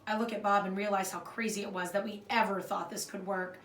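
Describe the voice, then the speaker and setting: An expressive woman reads a children's storybook aloud in the manner of a primary school teacher. The sound is roomy and a little distant from the mic, with faint noise behind her. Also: mid-range voice, a little fast. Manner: expressive, acts out the dialogue. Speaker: fourth grade teacher reading aloud.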